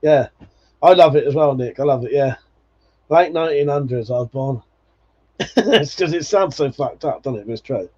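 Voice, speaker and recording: A man's voice: mid-range voice, normal speed, pauses often, darkish tone. A man in his forties hosting a live stream, chatting casually and nostalgically, swearing freely and laughing often as he reads viewer comments. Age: 40s. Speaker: livestream host. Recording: dull lo-fi stream audio.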